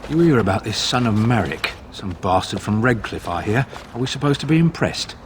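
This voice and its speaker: An actor playing a gruff, scornful townsman in a fantasy game. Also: lowish voice, lively intonation, brisk, a little hiss or hum, natural voice. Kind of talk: scripted fantasy game dialogue.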